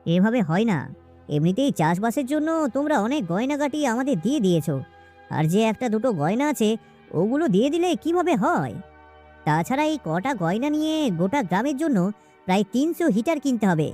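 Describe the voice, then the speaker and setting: Woman as earnest high-pitched Bengali village husband. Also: big pitch swings, a little fast, mellow, soft background music. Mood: firm, worried. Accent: West Bengal. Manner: earnest, plaintive.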